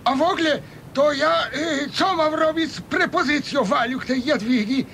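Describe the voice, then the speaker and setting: Radio comedy: blustering old pensioner who scorns women, very high creaky voice, Podlasie accent. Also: male voice, moderate pace, sharp tone, exaggerated voice. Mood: grumbling. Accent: eastern Polish, Podlasie regional. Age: older. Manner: folksy, blustering, opinionated. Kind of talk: radio comedy serial acting. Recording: rumbly, noisy radio recording.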